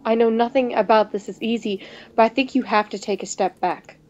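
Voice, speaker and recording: Gentle young male peacemaker, played by a woman, concerned, highish pitch, visual novel, slightly muffled mic. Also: fairly even intonation, fairly quick, lightly acted. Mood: placating. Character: Mike, gentle young man trying to keep the peace.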